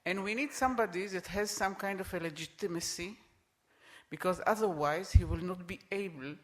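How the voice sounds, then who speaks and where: A middle-aged man speaking on a conference panel, emphatic and repeating his points, with halting restarts. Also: sits high. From Israel.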